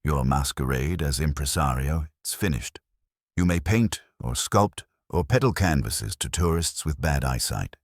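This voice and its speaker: Stern, cerebral detective, played by a male narrator: very low, husky, formal and measured, for audiobook mystery. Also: lively intonation, no echo, clean studio sound, full character voice. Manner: formal, pronouncing, precise wording.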